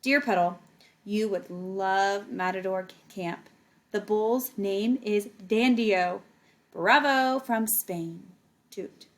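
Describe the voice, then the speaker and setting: A woman's voice: mid-range voice, slow, lightly acted. Woman reading as affectionate, adventurous storybook pig. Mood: proud, playful.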